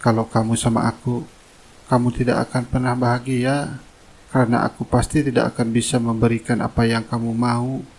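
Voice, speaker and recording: Man to voice poor young suitor for cartoon drama, humble and courteous, breathy rough-edged voice with very little pitch movement. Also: level tone, normal speed, a little mellow, close to an everyday voice. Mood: humble, resigned.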